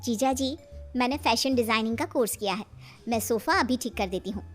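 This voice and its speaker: A woman voicing a teasing, sharp-tongued younger sister with a sassy manner. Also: on the high side, fairly quick, faint music behind, close to an everyday voice.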